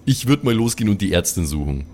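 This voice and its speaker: A man's voice: sits low, big pitch swings, fast, darkish tone, a little hiss or hum. A man chatting casually as a player in an improvised tabletop game. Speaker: player in tabletop audio play.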